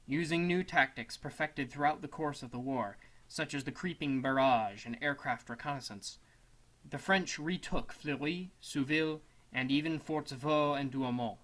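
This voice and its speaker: A man narrating a scripted essay as a dramatic reading, in a solemn, measured manner. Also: fairly high pitch, a bit bright, poor audio. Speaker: student narrator.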